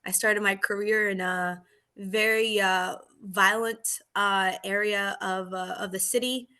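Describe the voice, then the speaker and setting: Bright-voiced woman police officer guest. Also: medium pitch, a little slow. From Argentina. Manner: relaxed, chatty, playful. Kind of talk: casual podcast interview.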